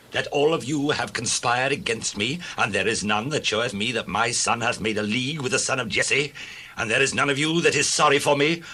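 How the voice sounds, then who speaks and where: A man playing an angry, suspicious, commanding king in dramatized scripture audio, with a forceful, accusing, declamatory delivery. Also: mid-range voice, husky.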